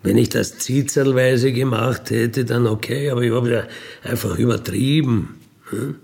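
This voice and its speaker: Slow, creaky-voiced Austrian man. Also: mid-range voice, fairly even intonation, a little mellow. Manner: halting, colloquial, with questioning tags. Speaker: former prisoner telling their life story. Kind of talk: reflective autobiographical monologue.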